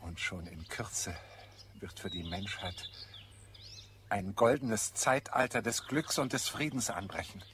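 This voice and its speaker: Man acting a solemn scholar guy w visions, low voice, radio drama, birds chirping behind. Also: measured pace, noisy background, natural voice. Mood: solemn, hopeful. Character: earnest idealistic male scholar, secretly half Martian. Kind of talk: German radio play acting. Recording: clear background birdsong and ambience. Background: birdsong in a garden scene.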